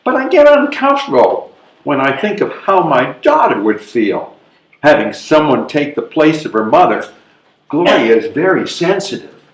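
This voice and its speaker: A man's voice: fairly high pitch, very animated, moderate pace. A man playing a hesitant, fretful elderly widower in a readers theatre comedy, protesting and questioning. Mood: uneasy. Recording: echoey live room recording.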